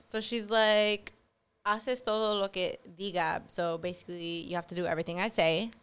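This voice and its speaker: A woman's voice: medium pitch, fairly even intonation, normal speed, a bit bright, smooth. A woman co-hosting a podcast in casual chat, laughing often and swearing freely. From Southern California. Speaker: podcast co-host.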